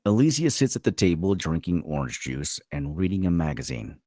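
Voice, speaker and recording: A man's voice: low-pitched, big pitch swings, brisk, mellow, no echo, clean studio sound. A man narrating stage directions as scripted narration at a table read.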